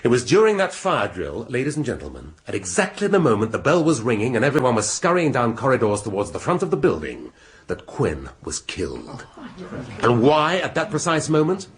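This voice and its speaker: An actor playing a shrewd middle-aged English police inspector in a radio drama, speaking deliberately and rhetorically with dramatic pauses. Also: male voice, medium pitch, huge pitch swings. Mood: accusing. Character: shrewd, theatrical male police inspector laying out a murder case.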